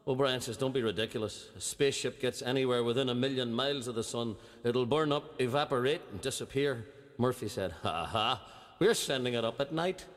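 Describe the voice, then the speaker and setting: Man, stand-up storyteller, does the characters' voices, mid pitch, long hall echo. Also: a bit bright. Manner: acts out characters in a lilting storyteller's voice. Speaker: comedian telling jokes. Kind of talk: stand-up joke telling. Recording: played-back stand-up set with hall reverb.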